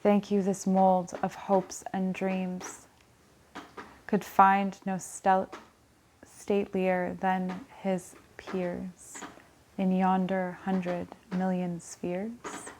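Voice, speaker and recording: A woman voicing a calm, sorrowful inner voice that tempts the speaker, in a soft and even recitation. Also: medium pitch, very slow, a little hiss or hum. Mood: quietly persuasive.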